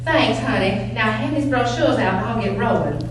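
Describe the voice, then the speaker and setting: An actress playing a brisk, folksy, condescending county official in a staged play reading. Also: female voice, on the high side, animated, natural voice. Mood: bossy, folksy. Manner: chatty, bossy, folksy, patronizing. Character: brisk, folksy, condescending 1960s county eugenics coordinator woman. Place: large room at a live stage reading. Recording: echoey hall from far off, faint hum.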